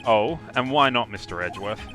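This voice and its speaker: A man's voice: on the low side, animated, fairly quick, bright, forceful tone, soft background music. A man voicing an earnest young defense attorney in a live fan dub, laying out his case step by step. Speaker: fan voice actor.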